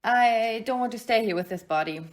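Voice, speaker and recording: A woman's voice: a little high, normal speed, close to an everyday voice. A woman playing a curious, headstrong young lady in an audio play, with a pert, protesting manner. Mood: insistent, pert.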